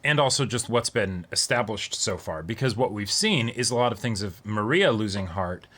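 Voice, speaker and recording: A man's voice: fairly low pitch, expressive, moderate pace, a bit bright. A man talking casually and unscripted as co-host of an anime reaction discussion. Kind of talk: casual chat, unscripted commentary.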